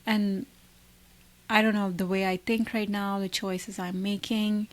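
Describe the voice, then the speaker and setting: A woman's voice: medium pitch, flat delivery, measured pace. A woman in her twenties from India, co-hosting a podcast in casual chat, thinking aloud with many likes and restarts. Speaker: podcast co-host.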